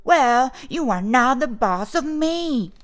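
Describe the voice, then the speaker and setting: A woman's voice: high voice, expressive, slow, pitched above the performer's own voice. A woman voicing a bossy, strong-willed and argumentative little schoolboy in a children's storybook, with emphatic, commanding delivery. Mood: indignant. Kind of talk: children's storybook read-aloud.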